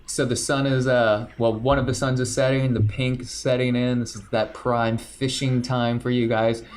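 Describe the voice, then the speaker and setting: A man's voice: mid-range voice, moderate pace. Easygoing man running a tabletop game. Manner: relaxed, joking, describes scenes with lots of fillers. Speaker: game master. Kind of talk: narrating a tabletop role-playing game.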